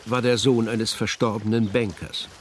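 A man's voice: on the low side, steady tone, normal speed, background noise. A man narrating a literary radio drama as scripted prose.

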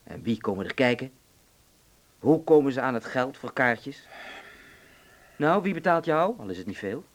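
A man's voice: medium pitch, very animated, moderate pace, pauses often, lightly acted. A man in a radio play, acting a cynical, coarse and self-satisfied wartime lawyer with a sardonic, needling way of talking. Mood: mocking.

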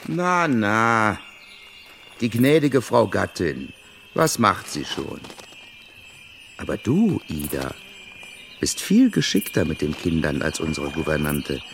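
A man's voice: low voice, wildly swooping pitch, slow pace, vocal fry, long pauses, a bit bright, slight background noise, exaggerated voice. An actor in a German radio drama playing a lecherous married man who coaxes and presses a girl. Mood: coaxing, patronising.

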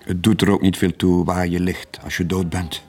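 A low-voiced, middle-aged sailor in a 1980s radio drama. He is gentle and laconic, answering in short, understated phrases over faint music. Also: little pitch movement, moderate pace, husky. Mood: resigned. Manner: terse, understated replies. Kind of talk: 1980s Dutch-language radio drama acting.

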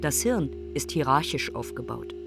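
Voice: mid-range voice, sing-song, normal speed, creaky, over music. An actor in a radio drama playing a brain specialist who observes his own decline in a musing, matter-of-fact way.

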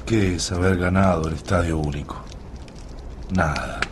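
A man's voice: low-pitched, a little slow. An actor playing a weary, brooding male survivor of an alien invasion in a radio drama monologue. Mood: grave resolve. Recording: noisy track with long echoing reverb.

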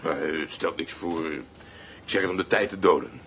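A man's voice: extremely low pitch, moderate pace, fairly bright tone, a little hiss or hum. A man playing a mocking, coarse, cold gangster henchman in a radio drama, taunting and threatening. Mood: casual menace.

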